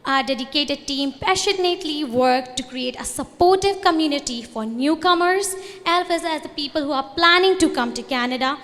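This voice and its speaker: Brief: young Indian-accented woman, high voice, earnest presenter at a convention, a bit loosely worded, long hall reverb. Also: moderate pace, fairly bright tone. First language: Hindi. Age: young adult. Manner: earnest, loosely phrased speech with restarts. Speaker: student organization representative. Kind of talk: conference presentation.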